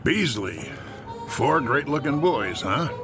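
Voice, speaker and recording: An actor playing a blunt, curt baseball club manager. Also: male voice, medium pitch, sing-song, takes it slowly, music in the background. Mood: gruff. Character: gruff male ball club manager.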